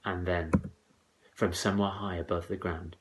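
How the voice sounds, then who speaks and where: A man's voice: low-pitched, a little hiss or hum. A man reading comic verse aloud to children as a dramatic, theatrical storyteller. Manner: dramatic, expressive, deliberate rhymed delivery.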